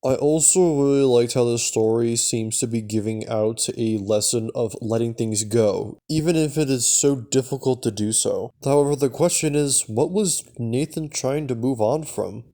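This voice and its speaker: A man's voice: mid-range voice, normal speed, hardly any pauses, warm tone. A man narrating a recap and review in a casual, semi-scripted style, as the presenter of a video.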